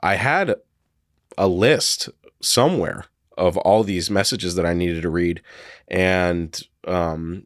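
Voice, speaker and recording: A man hosting a solo podcast, hoarse from a cold, chatting casually and rambling with lots of fillers and hedges. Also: low voice, a bit bright, no room sound, clean studio sound. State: hoarse, getting over a cold. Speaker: podcast host.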